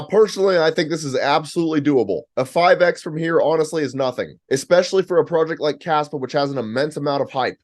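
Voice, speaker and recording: A man's voice: a little high, a little mellow. A man hosting a crypto channel, talking up coin prices in hyped, emphatic commentary while losing his voice. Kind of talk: fast crypto market commentary.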